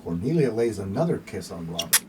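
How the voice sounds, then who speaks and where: A man's voice: on the low side, very animated, brisk, very noisy. A man reading scripted narration and stage directions for a radio play.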